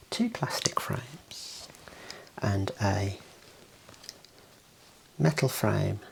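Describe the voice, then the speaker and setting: A man in his thirties whispering gently and soothingly in an ASMR roleplay as an optician, close to the mic in a small room. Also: lowish voice, creaky, low-quality recording. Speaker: ASMR performer playing an optician.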